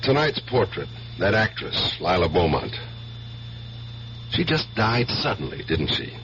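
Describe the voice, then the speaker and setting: A wry, suspicious American cop in a seventies radio mystery. His voice sits deep and moves around expressively, dry and teasing. The broadcast is dull, with faint noise. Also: big pitch swings, unhurried, a bit bright, full character voice. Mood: suspicious, probing. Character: wry, suspicious, protective police detective older brother. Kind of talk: 1970s radio drama acting.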